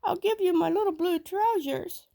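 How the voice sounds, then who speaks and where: A woman's voice: moderate pace, vocal fry, dark tone. A woman voicing a small, polite boy who pleads and bargains in a storybook read-aloud. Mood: pleading. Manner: pleading, polite, higher-voiced.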